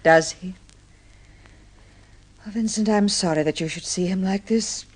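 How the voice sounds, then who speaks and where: An actress playing a gentle, devoted middle-aged blind wife in an old-time radio drama, tender, gracious and wistful. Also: female voice, medium pitch, a little slow, natural voice. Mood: confiding, pained.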